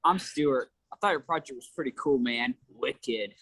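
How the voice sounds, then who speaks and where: A man's voice: mid-range voice, normal speed, fairly bright tone, husky. A man reading the part of a friendly, talkative teenage boy new at school, in a table read over a video call. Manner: easygoing, chatty, slangy.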